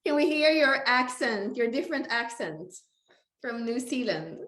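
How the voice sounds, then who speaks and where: A woman's voice: fairly high pitch, very animated, unhurried, fairly bright tone. A woman co-hosting a live webinar, talking casually and reflectively, with a roomy echo around her voice. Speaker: webinar co-host. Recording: roomy, echoing sound.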